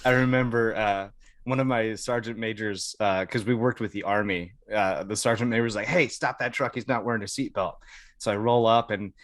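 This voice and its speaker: Male, American, low voice. Amused, colloquial podcast storyteller with a close, dry sound. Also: steady tone, fairly quick, a bit bright, no room sound. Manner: colloquial, amused, chuckles through stories. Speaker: podcast guest, Air Force veteran. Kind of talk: casual anecdotal storytelling.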